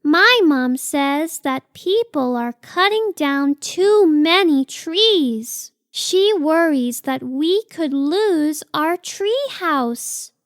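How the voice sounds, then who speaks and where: A woman's voice: high-pitched, animated, drawn-out words, few pauses, dry recording, clean studio sound, exaggerated voice. A woman voicing a cute, earnest young female sloth baby in a children's picture book read-aloud, with simple, childlike phrasing. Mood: earnest, concerned.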